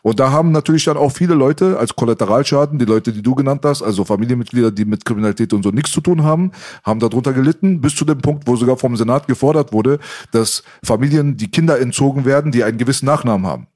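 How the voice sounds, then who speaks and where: A man's voice: medium pitch, fast, a little mellow. A man, originally from Iran, hosting a casual chat and telling stories in street slang. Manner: talkative storyteller, street slang.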